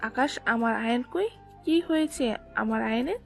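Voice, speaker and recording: Worried mother played by a woman: high-pitched, urgent, commanding, for audio drama with faint music. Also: animated, normal speed, vocal fry. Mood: frantic. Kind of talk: audio story drama acting. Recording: music behind the voice.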